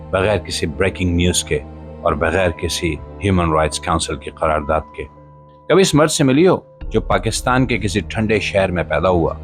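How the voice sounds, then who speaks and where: A man narrates a scripted spoken-word monologue in a solemn, emphatic, rhetorical manner, with short pauses between phrases. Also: deep voice. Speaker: podcast host and voiceover narrator. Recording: voice-over with music behind.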